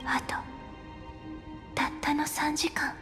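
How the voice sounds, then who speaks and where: A wistful young game heroine, speaks softly and breathily, close to a whisper. Her voice is high, with music clearly playing behind her. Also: level tone, normal speed. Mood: grave, hushed. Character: wistful, soft-spoken young heroine facing doom.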